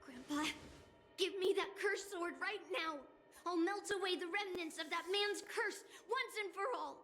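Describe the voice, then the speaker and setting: Young swordswoman for a game: cheeky, blunt, very high pitch, unhurried drawl, faint music. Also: a bit bright. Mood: angry, defiant. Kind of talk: video game voice acting.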